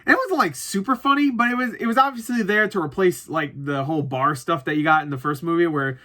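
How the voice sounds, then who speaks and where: Bright, higher-pitched man chatting casually. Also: fast talker. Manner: rambling, self-correcting, sweary. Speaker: movie reviewer. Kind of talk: casual unscripted review chat to viewers.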